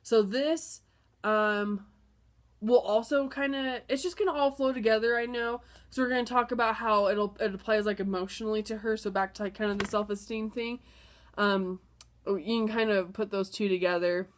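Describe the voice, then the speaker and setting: A woman's voice: mid-range voice, steady tone, normal speed. A young woman with a stuffed-up nose from a cold, casually talking through a lesson plan with many fillers and restarts. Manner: chatty, filler-heavy, apologetic restarts. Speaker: student presenting a lesson plan. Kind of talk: casual spoken presentation.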